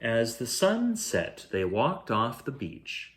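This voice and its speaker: A man reading a children's picture book aloud as a bedtime storyteller, in a measured, expressive way. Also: mid-range voice. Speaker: bedtime story reader, host.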